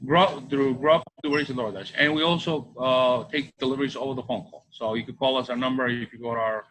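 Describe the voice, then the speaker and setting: A man with a bright, forceful voice talks as a restaurant owner being interviewed. He speaks casually, often halting and repeating himself, with faint noise behind him. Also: mid-range voice, fairly even intonation, rough recording. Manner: halting, repetitive phrasing.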